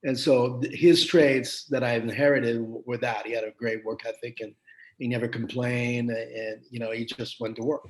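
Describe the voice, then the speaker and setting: Interview guest, French Canadian man, faint Quebec accent; animated storyteller with many restarts. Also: medium pitch, normal speed, a little hiss or hum, low-quality recording. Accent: slight Quebec French. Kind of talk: casual interview conversation.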